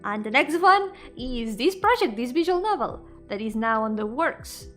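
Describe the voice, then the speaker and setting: A woman with a fairly high voice chats casually on a livestream. She is effusive and giggly, looping back over her words and letting sentences trail away. Faint music plays behind her. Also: expressive, moderate pace, fairly bright tone. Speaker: VTuber streamer and indie game developer.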